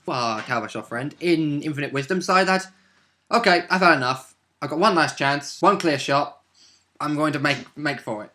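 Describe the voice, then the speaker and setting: Guy on the higher side, bright crisp edge, chatting casually thru his moves in an rpg session. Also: normal speed. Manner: informal, chatty. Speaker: tabletop role-player. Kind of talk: casual recap of own game turns.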